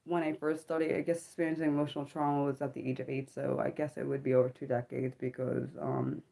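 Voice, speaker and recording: A woman in her thirties talks slowly through a personal livestream from home, her voice low and mellow. She pauses often, fills gaps with ums and keeps correcting herself with small apologies. Her voice has a slightly sick edge. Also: little pitch movement, creaky. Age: 30s. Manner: halting, many ums and apologetic self-corrections. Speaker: livestream host. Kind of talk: personal reflective livestream talk.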